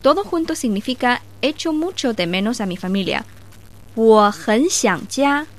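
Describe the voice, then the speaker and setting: A woman teaches a short language lesson, clear and patient as she breaks each word apart. Her voice sits slightly high. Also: lively intonation, unhurried. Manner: clear and patient, spelling out words.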